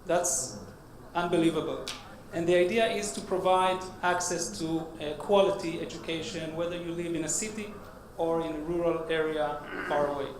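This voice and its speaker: Hebrew-accented guy doing a startup pitch, lots of uhs and restarts, kinda high voice, echoey noisy hall. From Israel. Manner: halting, many uh fillers and restarts. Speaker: startup CEO presenting. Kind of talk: conference pitch. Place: conference hall stage.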